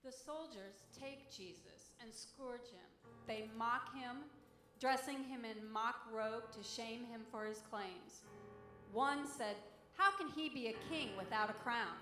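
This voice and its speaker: A woman's voice: fairly high pitch, slow pace, pushed and bright. A woman reading a dramatic scriptural narrative aloud as a church reader. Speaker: reader at a church Good Friday service. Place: large church sanctuary. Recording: echoey church, faint music behind.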